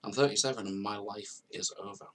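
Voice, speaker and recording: A man's voice: lowish voice, fairly quick, far from the mic, a little hiss or hum. A man in his thirties telling his own story to camera in an earnest, confessional way, repeating phrases for emphasis. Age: 30s. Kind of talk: personal testimony to camera. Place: at home, on a video call setup.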